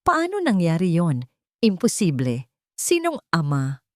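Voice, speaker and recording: A woman's voice: fairly low pitch, wildly swooping pitch, a little slow, no room sound, clean studio sound. A woman with a Filipino accent voicing an agitated, indignant grown son in an audio drama. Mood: shocked, angry.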